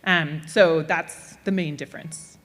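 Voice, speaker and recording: Woman panelist with a low voice, upbeat explanation, reverberant hall. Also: fairly even intonation, unhurried, a bit bright. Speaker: panel presenter. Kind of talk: answering audience questions.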